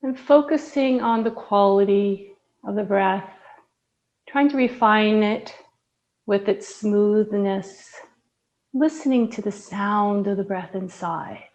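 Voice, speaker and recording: Slow, soft-spoken woman guiding breathing. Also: mid-range voice, highs cut off. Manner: slow, soft, soothing guidance with long pauses. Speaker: yoga and breathwork teacher. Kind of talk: guided breathing meditation.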